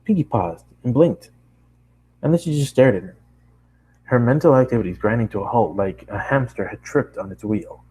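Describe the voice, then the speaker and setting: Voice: medium pitch, animated, normal speed, a little mellow, slightly rough, poor audio. A narrator reading fan fiction aloud in a storybook style, with casual asides.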